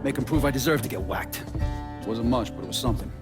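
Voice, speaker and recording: Casting: man to play defiant, weary gangster in a game, gruff, medium-pitched, over loud music. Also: quick pace, close to an everyday voice. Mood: bitter, defiant. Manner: gruff, grumbling, profane. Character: bitter, defiant middle-aged Italian-American mobster, world-weary.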